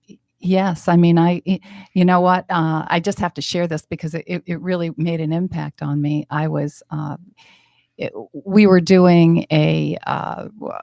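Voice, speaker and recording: A woman's voice: on the low side, flat delivery, a little slow, vocal fry, warm tone, no room sound. A woman from New Hampshire, a life coach guest on a radio show, upbeat and laughing often. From New Hampshire, USA. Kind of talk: radio interview. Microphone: studio microphone.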